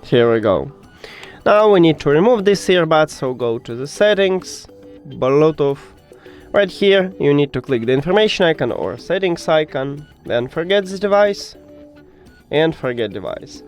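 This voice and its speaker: A man who speaks English as a second language presents a step-by-step tech tutorial, haltingly searching for words at times. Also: a little high, big pitch swings, faint music behind. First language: not English.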